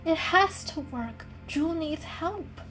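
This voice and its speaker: A woman's voice: very high voice, animated, slow pace, a bit bright, soft background music, pitched above the performer's own voice, heavily acted. A woman voicing a determined, caring female cat in a storybook reading, earnest and coaxing. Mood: worried, insistent.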